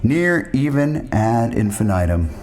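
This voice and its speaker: A man's voice: mid-range voice, very animated, a little slow, a little mellow, faint music behind. A man narrating a first-person story in a wordy, ornate and rambling manner.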